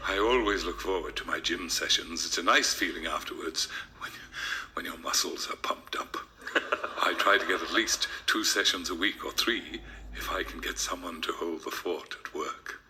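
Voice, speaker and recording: A man voicing a friendly, measured older woman in a comic storybook reading. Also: low voice, bright, forceful tone, pitched below the performer's own voice, heavily acted.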